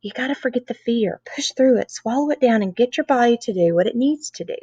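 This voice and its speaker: A woman's voice: on the high side, animated, a little fast, a little mellow, husky, no echo, voice pushed up. A woman voicing a tough older nun who coaches riding with blunt, urging commands, as in a storybook reading. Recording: dull, lo-fi close recording.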